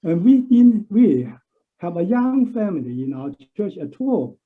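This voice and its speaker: A man from a church congregation speaking earnestly on a video call, often pausing to search for words. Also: high-pitched, very animated. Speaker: church member. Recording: tinny phone-like line.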